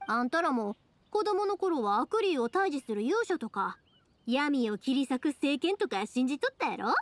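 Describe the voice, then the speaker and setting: A woman voicing a cheerful, big-sisterly young fireworks maker in an anime game, with a Kansai accent and a lively, reassuring, colloquial way of talking. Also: high voice, normal speed, no echo. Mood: passionate. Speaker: video game character voice actor. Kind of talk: anime-style game dialogue.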